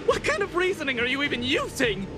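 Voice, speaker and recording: A woman's voice: high-pitched, very animated, fast talker, music in the background. A woman voicing a timid, aggrieved young male helper in a video game, pleading in a whiny way. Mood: indignant pleading. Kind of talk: video game character acting.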